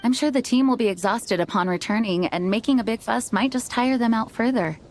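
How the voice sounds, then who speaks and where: An actress playing an earnest, modest young knight commander in an anime game, speaking formally and politely. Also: female voice, fairly high pitch, brisk, slight background noise, lightly acted. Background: background music.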